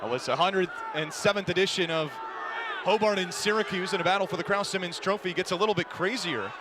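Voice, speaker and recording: A man with a high-pitched voice works as a radio play-by-play commentator at a live game. He describes the action as it runs, with energy, while a clear crowd and game noise sit behind him. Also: fast. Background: crowd and ambient game noise.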